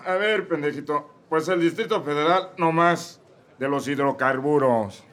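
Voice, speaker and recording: A man's voice: fairly high pitch, unhurried, fairly bright tone. A man with a Mexican accent voicing a drunk, argumentative preacher on trial in a comedy radio drama. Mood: drunken. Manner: slurred, crude and argumentative.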